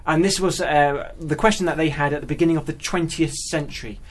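Male guest expert, slightly high-pitched, casual explainer who thinks aloud with some hesitancy. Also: fairly even intonation, fairly quick. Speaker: guest mathematician.

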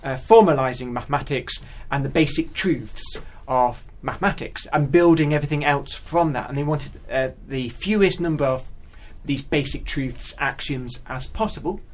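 A man's voice: medium pitch, moderate pace, few pauses. A man who is a guest mathematician, explaining things in casual conversation and thinking aloud hesitantly.

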